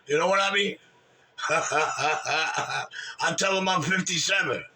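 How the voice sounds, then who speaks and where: A man's voice: on the high side, slow pace, vocal fry, bright, forceful tone. A man, bragging and trash talking profanely in casual chat. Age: 60s. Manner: brash, boastful, profane trash talk. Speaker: regular on a call-in chat room show. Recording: distant mic.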